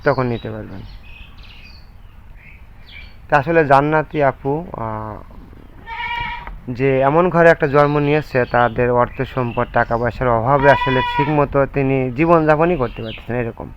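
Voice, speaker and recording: A man with a Bangladeshi accent and an average-pitched voice presents an appeal on location. He speaks haltingly and often repeats himself. The sound is slightly muffled, with faint noise behind him. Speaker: interviewer presenting a charity appeal. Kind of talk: informal on-location interview and appeal. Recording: muffled low-fi audio, background noise, bleating animals.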